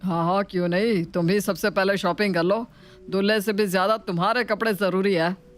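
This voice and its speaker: A woman's voice: medium pitch, steady tone, moderate pace, soft background music, natural voice. A woman voicing an opinionated, dryly teasing young man in a family story, wry and assertive.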